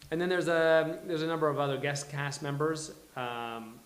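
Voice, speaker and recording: A man hosting a live table read, announcing and reading stage directions in a halting, deliberate way. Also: a little high. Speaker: host and stage-direction reader. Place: live venue with audience. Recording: echoey live venue.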